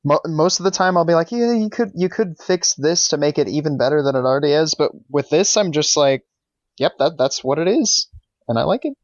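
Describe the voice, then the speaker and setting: A man's voice: a little high, fairly quick, no room sound. Laid-back podcast guy, dull dry mic. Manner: relaxed, laughs often, trails off mid-sentence. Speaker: podcast co-host. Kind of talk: casual podcast chat critiquing fan characters.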